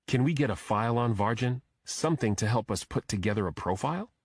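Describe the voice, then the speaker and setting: A man's voice: medium pitch, lively intonation, brisk, husky, no echo. A man voicing a direct, probing detective. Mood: probing, firm. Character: probing male detective, contract consultant to the FBI. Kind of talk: audiobook character dialogue.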